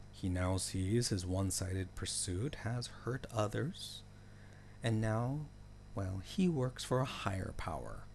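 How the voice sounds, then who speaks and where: A man's voice: mid-range voice, vocal fry, a little mellow, a little hiss or hum. A man narrating a story recap in a casual, loosely scripted way, with wry joking asides.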